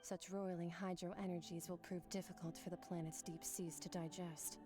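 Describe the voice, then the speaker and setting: A woman's voice: lowish voice, level tone, normal speed, close to an everyday voice. An actress playing a haughty, blunt otherworldly swordswoman in a video game, speaking in a cool, formal, matter-of-fact way. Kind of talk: video game character acting. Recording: game audio with background music.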